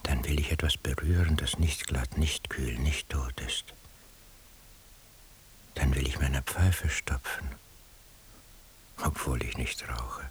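An actor playing a weary, brooding surveillance eavesdropper in a radio drama monologue, speaking introspectively in a breathy half-whisper. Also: male voice, extremely low pitch, fairly bright tone, full character voice. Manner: slow, measured, introspective. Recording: hissy radio drama recording.

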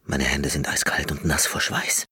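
An actor playing a neurotic, reclusive and obsessive pianist in a radio drama, speaking with brooding, halting intensity. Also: male voice, a bass voice, flat delivery, pushed and bright, slightly rough, no room sound, studio quality, exaggerated voice. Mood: anguished, brooding. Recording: radio play with music underneath.

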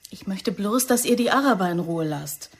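A woman's voice: mid-range voice, expressive, moderate pace, lightly acted. A woman acting a defiant, idealistic young actress with radical politics in a German radio play, outspoken and stubborn. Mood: irritated, pleading.

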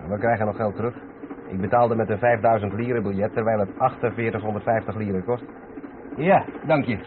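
A man's voice: on the low side, brisk, close to an everyday voice. A man playing a patient, knowledgeable truck driver explaining things in a radio drama. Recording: tinny old radio recording, loud background noise.

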